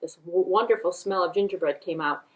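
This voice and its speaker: A woman puppeteer telling a children's story in an animated, deliberate way, with repetition and conversational asides. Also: fairly low pitch, rough recording.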